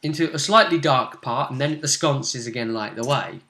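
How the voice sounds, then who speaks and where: A man's voice: medium pitch, moderate pace, gravelly, bright, forceful tone. A man speaking as game master, casually narrating a tabletop roleplaying game and checking in with his player in a conversational way. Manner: conversational, addresses the player with tag questions.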